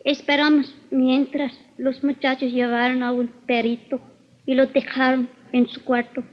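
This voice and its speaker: High-voiced young woman an actress plays, expressive. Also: normal speed, lightly acted. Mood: defensive, eager. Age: young adult. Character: defensive, chatty young Spanish-speaking girl, a witness. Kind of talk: 1950s radio drama acting. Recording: dull vintage radio recording.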